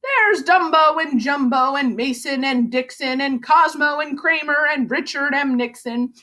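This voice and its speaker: A woman's voice: sits high, measured pace, bright, forceful tone. A woman voicing a grumpy old man bluffing as a substitute Santa, kvetching and blustering in a storybook read-aloud.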